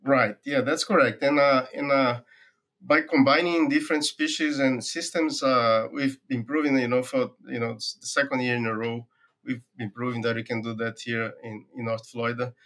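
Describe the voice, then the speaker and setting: Brazilian-accented man, halting and thoughtful. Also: medium pitch, fairly even intonation, fairly bright tone. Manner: thoughtful, many "uh" fillers and repeated words. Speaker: forage agronomy professor, guest. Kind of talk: conversational interview answers.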